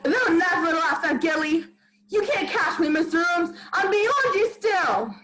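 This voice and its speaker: A woman's voice: very high voice, lively intonation, unhurried, very noisy. A woman playing a mad, power-hungry male village bell-ringer in a radio play, gloating and defiant in rustic speech. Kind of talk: 1940s-style radio play acting.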